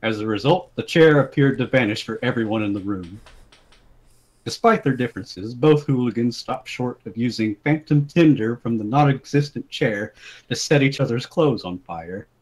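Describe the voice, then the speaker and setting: A man's voice: mid-range voice, moderate pace, a little mellow, rough recording. A man giving a dramatic, mock-serious reading of an absurd comic story, often amused by it. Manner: amused, breaking into giggles.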